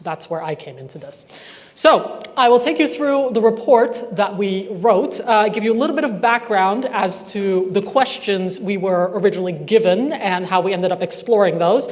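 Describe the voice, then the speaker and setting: Woman giving a talk on her report, dry jokes, mid pitch, echoey hall. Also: normal speed. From not from Northern Ireland. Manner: wry, conversational lecturing with asides. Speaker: researcher presenting a report. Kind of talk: conference presentation. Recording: reverberant hall sound.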